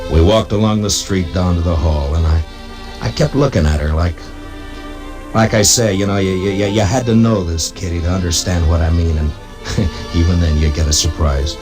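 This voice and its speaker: Man acting a deep-voiced, brooding frontier marshal. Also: natural voice. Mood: wistful, tender. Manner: plainspoken, halting, reflective. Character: stoic, weary US Marshal narrating his own Western story. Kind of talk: 1950s Western radio drama acting and narration. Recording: old radio drama recording with music behind.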